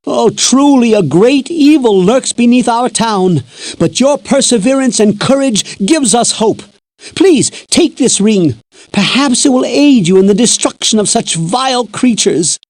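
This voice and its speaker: A woman's voice: a little high, lively intonation, measured pace, a little mellow, no room sound. A woman playing an earnest, worried townswoman in a fantasy video game, speaking formally. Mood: alarmed, grateful. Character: earnest, worried townswoman pleading for the hero's help. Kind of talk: video game character acting.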